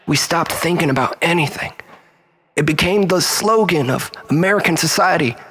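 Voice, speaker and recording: Unhinged male monologuist played by a man: fairly high voice, self-loathing, rambling like a mock-philosophical preacher. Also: moderate pace, bright, forceful tone, husky. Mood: brooding, rambling. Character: unhinged, self-loathing male storyteller pleading with his lover.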